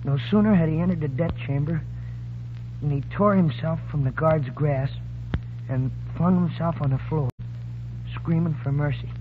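A hot-headed, loyal teenage gang boy, played by a man, speaks high in an old radio play. The 1930s broadcast is muffled, with a clear hum and noise. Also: moderate pace, close to an everyday voice. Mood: grim reading aloud. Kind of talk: 1930s radio drama acting.

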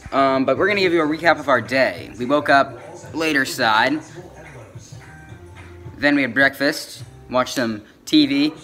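A man's voice: medium pitch, sharp tone. A teenage boy narrating a casual vlog about his day and a hike, talking offhand with frequent ums, recorded on a phone at arm's length. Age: teens. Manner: chatty, offhand, lots of ums. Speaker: vlogger. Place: indoors at a cabin and outdoors on a forest hiking trail. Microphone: phone camera held at arm's length.

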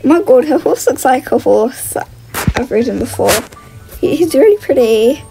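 Female gaming host, high voice, casual and exclamatory, thinking aloud, faint music underneath. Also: lively intonation, moderate pace, creaky, poor audio. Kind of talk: casual gameplay commentary.

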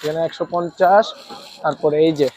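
A man's voice: on the high side, fairly even intonation, fairly quick, a little mellow. A man with a Bangladeshi accent working as a shop seller, giving casual sales talk in short, repetitive phrases as he shows his goods.

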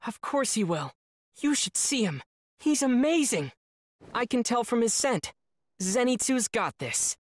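A man voicing a kind, earnest teenage boy in an anime dub, assured and reassuring, with a slightly husky voice. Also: extremely high pitch, big pitch swings, measured pace, dry recording, exaggerated voice.

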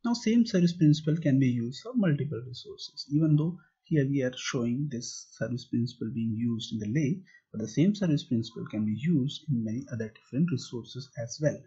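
A man's voice: mid-range voice, lively intonation, a little fast, mellow, slightly rough. A man teaching a tutorial over slides and a screen demo, explaining step by step and asking and answering his own questions. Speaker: tutorial instructor. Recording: muffled lo-fi recording.

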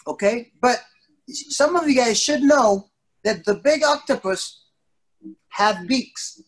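A middle-aged man teaching a young kids' class over a video call, telling stories playfully with exaggerated surprise and drawn-out words. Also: extremely high pitch, expressive, fairly bright tone, rough recording. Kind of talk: playful interactive storytelling to young children. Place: indoor studio, on a video call. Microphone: device mic at a short distance on a video call. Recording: video call with background noise and clicks.

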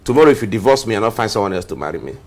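A man's voice: fairly low pitch, big pitch swings, fairly quick. A man with a Nigerian accent playing a jobless, suspicious husband who taunts and exclaims in a screen drama. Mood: mocking, suspicious.

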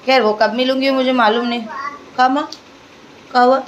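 A young woman talks casually to her viewers from her home kitchen, chatty and relaxed as she walks through her errands and cooking. Her voice sits a little high. A faint hiss of room noise runs underneath. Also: normal speed, reverberant, distant mic, dull audio. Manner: chatty, talks to her baby in a sing-song coaxing way. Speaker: family vlogger. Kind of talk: casual home vlog chat.

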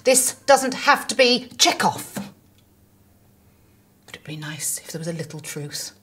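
Fed up middle-aged soap star, lowish sharp voice, really cutting and sarcastic. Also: female voice, expressive, measured pace, a little hiss or hum. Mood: scornful menace. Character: fiery, scornful middle-aged radio soap actress. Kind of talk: naturalistic screen comedy-drama acting.

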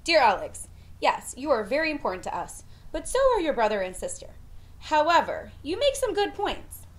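Expressive woman reading a storybook aloud. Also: high-pitched, moderate pace. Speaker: reader of a children's picture book. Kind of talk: storybook read-aloud, letters in character. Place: covered porch with patio furniture. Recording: ambient noise on a porch.

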